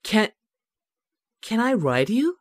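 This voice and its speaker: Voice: medium pitch, sing-song, slow pace, background noise. An actor voicing a naive, childlike, cheerfully dim male martial arts hero in a fan parody cartoon dub, with a guileless, eager manner. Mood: curious, naive.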